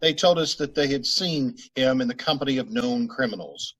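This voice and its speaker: A man playing a clipped, husky police sergeant. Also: medium pitch, moderate pace. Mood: matter-of-fact. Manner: clipped, flat, matter-of-fact. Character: terse, deadpan male police sergeant. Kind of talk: old-time radio drama recreation.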